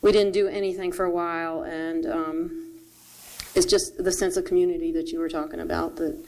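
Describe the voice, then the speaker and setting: A woman briefly sharing a hesitant comment at a church service, pausing to search for her words. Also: fairly low pitch, little pitch movement, dark tone, slight background noise, low-quality recording. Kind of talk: brief spoken testimony. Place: church sanctuary.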